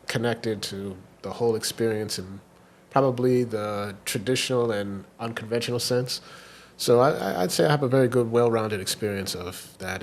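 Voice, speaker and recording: A young man from Washington, D.C., a guest on a podcast, telling his story in relaxed, rambling chat with plenty of fillers. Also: fairly low pitch, slight background noise. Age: young adult. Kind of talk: casual interview chat.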